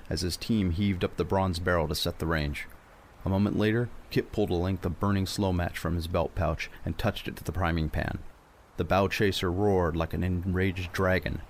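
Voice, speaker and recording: Deep, gravelly male storyteller. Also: slight background noise. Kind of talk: dramatic scripted audio story narration.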